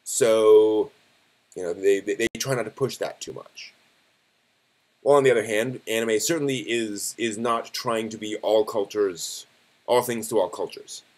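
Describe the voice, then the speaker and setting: A man hosting a live stream, talking casually and conversationally, thinking aloud in a halting way. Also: lowish voice.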